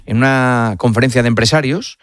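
A man from Spain hosting a live late-night radio sports show, brisk and fluent with frequent "eh" fillers. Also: medium pitch.

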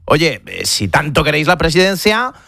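A man on a radio panel, debating in a quick, questioning manner. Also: fairly high pitch, sing-song, vocal fry, bright, forceful tone, no echo, studio quality. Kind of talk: sports talk debate.

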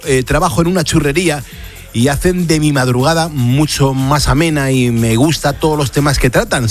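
Effusive male Spanish radio host. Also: a little high. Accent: Spain. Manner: effusive, affectionate. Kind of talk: late-night radio listener-message show.

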